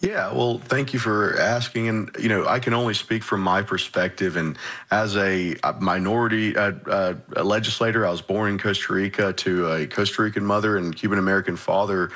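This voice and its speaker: A man with a deep voice speaks as a state lawmaker on a radio panel. He explains his political views in a measured, off-the-cuff way. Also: steady tone, a bit bright. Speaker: state legislator, panel guest. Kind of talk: unscripted political discussion.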